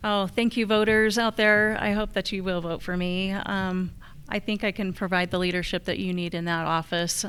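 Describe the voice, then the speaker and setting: A woman's voice: medium pitch, steady tone, normal speed, fairly bright tone, smooth. An American woman speaking as a political candidate at a public forum, addressing voters directly. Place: live candidate forum on a college campus.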